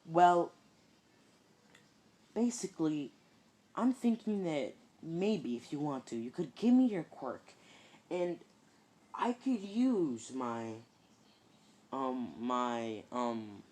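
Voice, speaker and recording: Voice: medium pitch, expressive, slow pace, pauses often, a little mellow. Narrator voicing an eager teen boy hero. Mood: earnest.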